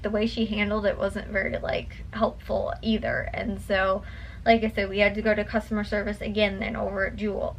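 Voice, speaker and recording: A woman's voice: mid-range voice, fairly bright tone, slight background noise, low-quality recording. A tired young woman vlogger chatting casually to her viewers at home at night, rambling with lots of fillers and restarts, her voice a little worn. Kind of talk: casual chat to camera.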